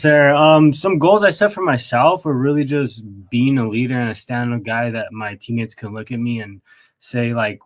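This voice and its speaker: A young man from Texas answering casual sports interview questions as a college athlete, polite and earnest. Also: fairly low pitch. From Texas, United States. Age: young adult. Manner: polite, often says yes sir, earnest. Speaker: college baseball player, interview guest.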